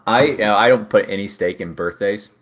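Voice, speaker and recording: Deep voiced guy in his 30s, rambling joking chat, lots of restarts. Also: a little fast. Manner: rambling, self-interrupting, joking. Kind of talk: casual chat.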